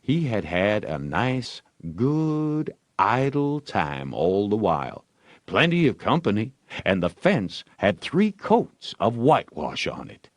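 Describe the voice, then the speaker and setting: A man reading scripted storybook narration in a deliberate, expressive way. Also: lowish voice, no room sound. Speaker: audiobook narrator.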